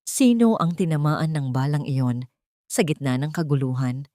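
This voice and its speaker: A woman's voice: deep voice, moderate pace, mellow, no echo, clean studio sound. A woman giving dramatic scripted narration for an audio drama, solemn and suspenseful.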